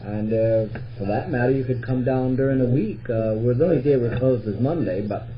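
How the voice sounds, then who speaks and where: A man chatting casually as an interviewer, with a hesitant, halting way of talking. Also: mid-range voice. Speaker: interviewer, park visitor center worker. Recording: muffled old reel-to-reel tape with hum.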